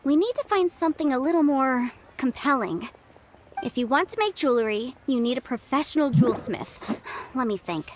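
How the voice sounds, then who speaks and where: An actress playing a confident young legal advisor in a game, brisk, self-assured and a little playful. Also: female voice, sits high, lively intonation, slight background noise. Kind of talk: game voice acting. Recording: studio voice over game music.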